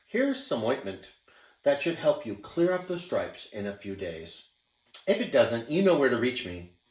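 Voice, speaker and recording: A man voicing a fussy male family doctor in a children's story, speaking in a brisk, reassuring way. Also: mid-range voice, big pitch swings, a little mellow. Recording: roomy, distant mic.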